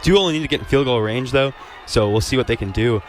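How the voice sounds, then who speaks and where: A man's voice: mid-range voice, fast talker. A man giving informal, plain-spoken color analysis during a live radio football broadcast. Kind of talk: live sports analysis, conversational.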